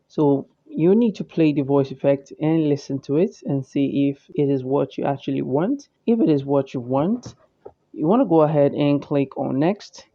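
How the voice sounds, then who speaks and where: A man hosting a how-to video, narrating software steps in an instructional, repetitive way over a muffled voice-over recording. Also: a little high, expressive, moderate pace, no echo. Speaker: tutorial host. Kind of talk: step-by-step software tutorial narration.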